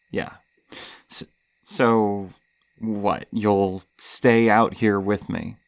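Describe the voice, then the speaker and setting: A man's voice: fairly low pitch, steady tone, no echo, full character voice. A man playing a lonely, insecure masked killer in an intimate horror ASMR roleplay, stammering and flustered. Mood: bitter, wary. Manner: stammering, halting, breathy sighs and nervous laughs.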